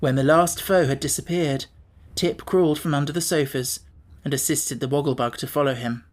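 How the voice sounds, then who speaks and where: A woman reading a classic children's fantasy book aloud, giving the lines clear storybook narration. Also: low-pitched, moderate pace. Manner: expressive reading with lively character voices. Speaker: audiobook narrator.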